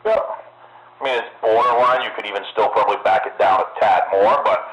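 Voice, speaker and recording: A man's voice: lowish voice, fairly even intonation, moderate pace, dull audio. A man chatting casually on CB radio in a rambling, technical, sweary way. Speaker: amateur CB radio operator. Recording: noisy CB radio audio with static.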